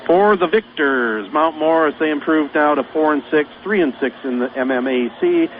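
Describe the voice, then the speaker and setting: A man with a Michigan accent calling high school basketball play-by-play on local radio, in a brisk running call of the action. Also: animated. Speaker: play-by-play announcer. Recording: tinny phone-line radio broadcast with noise.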